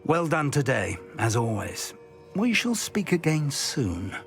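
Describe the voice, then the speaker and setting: A courteous, inquisitive older male professor in a wizarding game. His mid-pitched voice has lively intonation, with music clearly behind it. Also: takes it slowly, full character voice. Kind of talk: video game cutscene acting.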